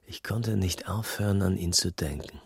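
An actor playing a restless small-time crook who narrates a noir radio drama in terse phrases with a husky voice. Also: male voice, low-pitched, moderate pace, no echo, heavily acted. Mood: brooding. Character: hard-boiled small-time male crook recounting his past, restless. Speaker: narrator and lead.

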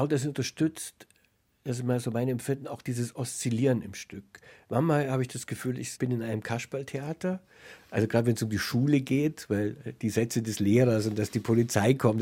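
A man interviewing on the radio about literature. His voice is mid-pitched and mellow, and he frames his questions in a thoughtful, analytical manner. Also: a little fast, husky.

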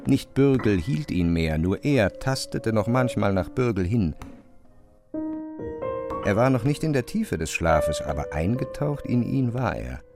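A man narrating a literary radio drama from a script in a measured storytelling style. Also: on the low side, sing-song, music in the background.

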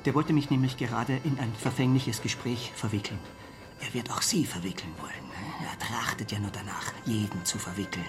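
An anxious, well-read traveller acted by a man in a radio play, confiding like a conspirator. His voice sits at a middling pitch, with music clearly underneath. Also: a little fast, natural voice. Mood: hushed, conspiratorial. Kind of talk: radio drama acting.

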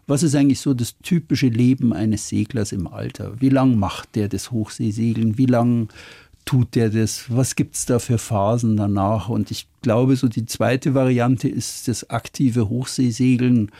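A man in his sixties, a sailor and author, musing in a relaxed interview chat, who sometimes loses his thread and chuckles. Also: mid-range voice, creaky, no echo, studio quality. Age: 60s.

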